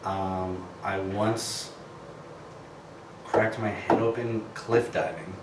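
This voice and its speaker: A young man speaks slowly and without hurry in casual chat among friends. His voice sits on the low side, and he comes through from a distance in an echoey room with loud background noise. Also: steady tone. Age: young adult. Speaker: club podcast co-host.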